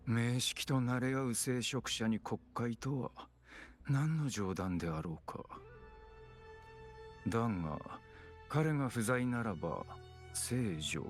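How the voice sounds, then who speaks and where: A man's voice: medium pitch, animated, normal speed, faint music behind, natural voice. An actor playing a haughty, grave man in a video game, with stern, archaic, disdainful phrasing. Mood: cold disdain.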